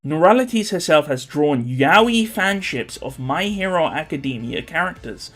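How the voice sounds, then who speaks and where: Male commentator, higher register, formal and scripted critical narration. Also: expressive, moderate pace, a bit bright.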